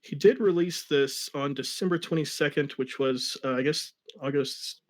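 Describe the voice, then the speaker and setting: Man, fairly high voice, livestream co-host, casual chat, story reader. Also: moderate pace. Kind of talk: casual chat and dramatic reading.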